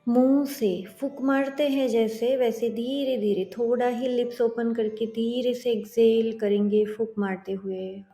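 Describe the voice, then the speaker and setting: A woman leading a guided meditation as a yoga instructor, soothing and gently instructing with long pauses. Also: fairly high pitch, level tone. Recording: roomy echo. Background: soft music.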